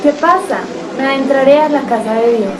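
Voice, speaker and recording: A woman's voice: sits high, normal speed, crowd noise, close to an everyday voice. A woman playing a polite, puzzled young traveller in an amateur radio drama, narrating her search theatrically. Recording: echoey, distant, loud music behind.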